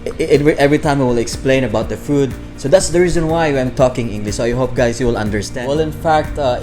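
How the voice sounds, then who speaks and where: A man with a Filipino accent, from Bohol, hosting a chatty food review vlog, full of repeats and fillers. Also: mid-range voice. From Philippines, Bohol. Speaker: food vlogger.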